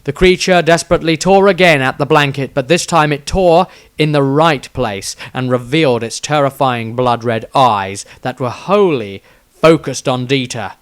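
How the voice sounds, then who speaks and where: A man's voice: medium pitch, normal speed, smooth. A man reading his own fantasy story aloud as a scripted, dramatic narration with a theatrical delivery.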